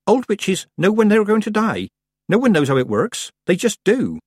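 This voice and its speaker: A man's voice: sits high, sing-song, normal speed, a little mellow, slightly rough, dry recording, studio quality, voice pushed up, heavily acted. A man voicing a nervous, hesitant young witch girl who stumbles over her words. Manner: hesitant, starts with "um".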